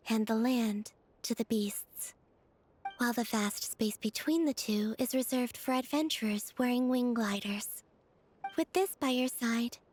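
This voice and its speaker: A woman voicing a serene, polite young moon maiden in a video game, with a soft, airy voice and a gentle, measured, formal way of speaking. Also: on the high side, slight background noise, lightly acted. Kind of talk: scripted video game voice acting. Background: faint music.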